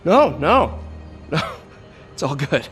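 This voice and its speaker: A young superhero in a game, friendly quippy mentor type, casual, music under it. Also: male voice, on the high side, big pitch swings, slow pace, a bit bright, exaggerated voice. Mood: coaching, upbeat. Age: young adult. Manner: casual, encouraging, wisecracking. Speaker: video game voice actor. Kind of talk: naturalistic game cutscene acting.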